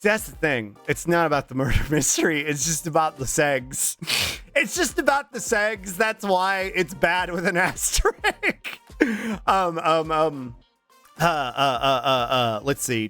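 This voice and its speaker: A game streamer in his thirties with a somewhat high, bright, animated voice that swings widely in pitch. He chats casually with viewers, fills the gaps with long runs of drawn-out ums and swears freely. His voice is strained and cracking from allergies. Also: soft background music. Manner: long strings of drawn-out filler ums, profane and joking. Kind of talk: casual chat reading out viewer messages.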